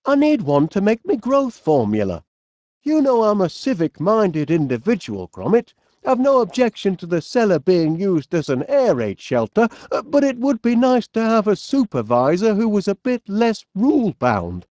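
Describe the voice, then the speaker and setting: An actor playing a fussy, cheerful, absent-minded middle-aged inventor with a Northern English accent, speaking in a bumbling, polite way for an animated comedy game. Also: male voice, high voice, normal speed, dry recording, clean studio sound. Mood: chatty, mildly fretful. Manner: bumbling, hesitant, polite, chatty.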